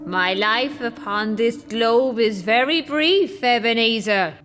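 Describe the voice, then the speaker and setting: A woman playing a solemn Christmas ghost who speaks slowly and gravely in a radio play. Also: on the high side, expressive, a bit bright, slight background noise. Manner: slow, grave and measured. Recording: long reverb on the voice.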